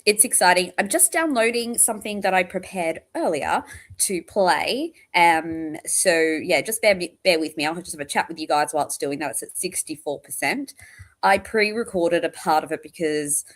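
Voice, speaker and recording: Casting note: woman host, lower voice gone croaky from tiredness, rambly friendly chat to her stream viewers. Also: lively intonation, normal speed. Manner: chatty, rambling, greets viewers by name. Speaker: livestream host. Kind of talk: casual livestream chat.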